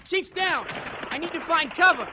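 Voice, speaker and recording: A man's voice: very high-pitched, animated, moderate pace. A man playing an urgent, protective young American Marine rifleman in a war radio drama, speaking in clipped bursts. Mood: urgent, tense. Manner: urgent, clipped battlefield delivery. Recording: noisy, with battle gunfire and artillery effects.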